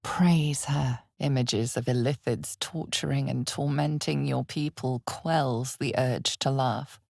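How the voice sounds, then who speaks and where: Casting: female dark fantasy game narrator, ominous and knowing, husky low register, slow deliberate pacing. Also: fairly even intonation, no echo, studio quality, full character voice. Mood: ominous, solemn. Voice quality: husky, gravelly, breathy. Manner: measured, deliberate, hushed theatrical delivery. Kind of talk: dramatic scripted second-person fantasy game narration.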